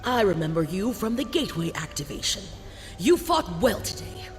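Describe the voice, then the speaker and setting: High-pitched proud alien warrior captain. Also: male voice, sing-song, moderate pace. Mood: proud, earnest. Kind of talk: scripted game voice acting. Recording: long reverb, faint music behind.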